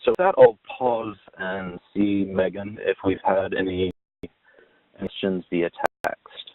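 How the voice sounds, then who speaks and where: A low-pitched man talks through slides on a webinar, picking his way along in halting, informal explanations. The audio is muffled and lo-fi, like a conference call, with no room sound at all. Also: steady tone, no room sound. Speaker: webinar presenter on tax credit policy. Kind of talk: presenting a slide talk. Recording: dull lo-fi call audio, very dry.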